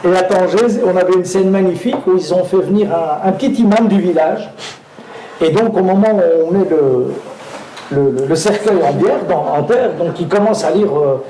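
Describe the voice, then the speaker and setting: A man's voice: high-pitched, normal speed, roomy sound, crowd noise, low-quality recording. A man, an actor guest telling anecdotes on stage, hesitant with many fillers and restarts, often laughing. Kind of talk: live talk on stage, storytelling.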